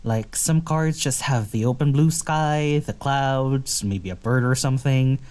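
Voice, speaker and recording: Actress as a young female wanderer, wonder-struck, easygoing, soft mellow tone, slow musing delivery, naturalistic podcast drama. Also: very deep voice. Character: curious young woman traveler named Glass, wonder-struck and easygoing. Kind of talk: naturalistic audio drama acting and narration.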